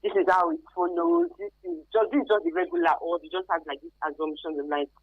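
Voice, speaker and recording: Animated Nigerian woman, thin phone-like sound. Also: fairly high pitch, a little fast, gravelly, breathy edge, no room sound. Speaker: guest expert, career advisor. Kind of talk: conversational interview answers. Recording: narrow phone-like radio sound.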